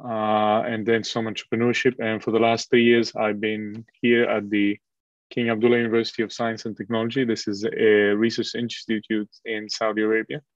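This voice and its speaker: Man from Colombia, online lecturer, steady flat tone, lots of fillers, dull dry call mic. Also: on the low side, normal speed, no echo. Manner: relaxed, with frequent fillers and restarts. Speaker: guest lecturer. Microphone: video call microphone.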